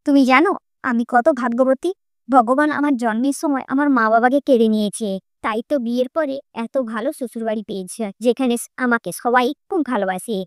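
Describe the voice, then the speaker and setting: Cartoon young bride, female performer: grateful, humble, affectionate, high-pitched. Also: fairly quick, no echo, clean studio sound, lightly acted. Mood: grateful, tender. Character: sweet, grateful young orphaned daughter-in-law. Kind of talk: Bengali cartoon fairy-tale voice acting.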